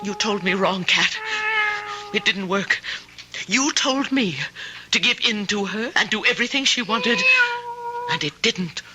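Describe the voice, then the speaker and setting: A woman's voice: mid-range voice, lively intonation, unhurried, lightly acted. An actress playing a timid, lonely, put-upon woman, hesitant and plaintive, in old-time radio drama style on a noisy old recording. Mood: agitated, fretful.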